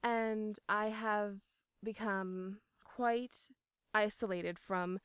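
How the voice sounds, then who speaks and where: A woman's voice: mid-range voice, fairly even intonation, very slow, a bit bright, clear, resonant tone, no echo, studio quality. A woman speaking as an interviewed voice actor, in casual, enthusiastic and emphatic conversation.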